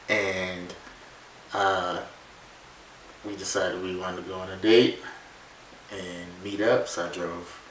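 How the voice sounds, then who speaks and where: Young man, very deep voice, laid-back and a bit halting, roomy far-off sound. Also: a bit bright, slight background noise. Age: 20s. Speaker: vlog co-host. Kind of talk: casual couple chat. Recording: roomy, mic set back.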